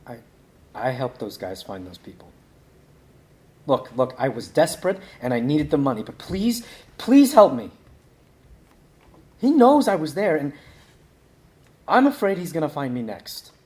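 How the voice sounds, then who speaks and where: A man's voice: a little high, sing-song, unhurried, long pauses, darkish tone. A man acting a desperate, shaken suspect who stammers and pleads in a script read. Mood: desperate pleading.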